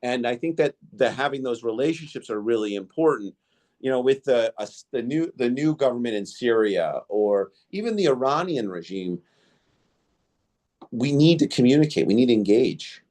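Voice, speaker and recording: A man's voice: medium pitch, lively intonation, normal speed, a little mellow. A man hosting a podcast interview, whose first language was Indo-Greek, telling stories in a rambling way with many fillers and restarts. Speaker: podcast host. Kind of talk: casual interview storytelling.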